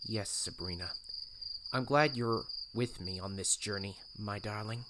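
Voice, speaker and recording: A woman's voice: a bass voice, unhurried, fairly bright tone, slight background noise. A woman voicing an earnest, devoted young male raccoon adventurer in an audio drama. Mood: tender, soft. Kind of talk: audio drama acting and narration.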